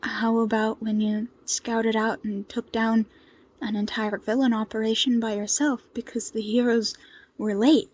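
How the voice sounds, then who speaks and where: A woman voicing a nervous, awestruck young male intern in an audio story, halting and tentative. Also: fairly high pitch, level tone, darkish tone.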